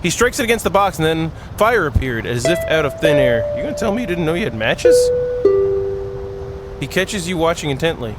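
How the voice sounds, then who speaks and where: High, animated man doing a playful let's play. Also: brisk, poor audio. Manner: goofy, giggly, exclaiming, drifts between reading and quips. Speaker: gaming video host. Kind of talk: playful let's play, reading story aloud with joking asides. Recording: music behind the voice.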